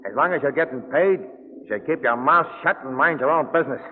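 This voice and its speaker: Man acting surly crooked sailor, gruff, threatening, long reverb, faintly noisy 1940s radio drama. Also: fairly high pitch, brisk, narrow, tinny audio, close to an everyday voice. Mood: warning, surly. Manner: gruff, slangy tough talk.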